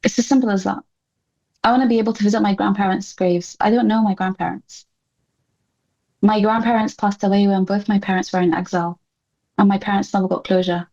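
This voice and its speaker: A woman's voice: medium pitch, steady tone, a little fast, warm tone, muffled recording. A woman speaking as an interview guest, articulate and impassioned, giving earnest answers with emphatic repetition.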